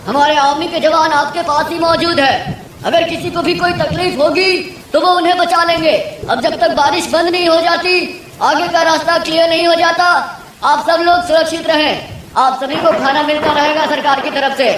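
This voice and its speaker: An actor playing a formal public-address announcer in a cartoon story, heard through an echoing loudspeaker. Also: very high-pitched, steady tone, moderate pace. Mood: official, reassuring. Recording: very echoey, distant, noisy loudspeaker sound.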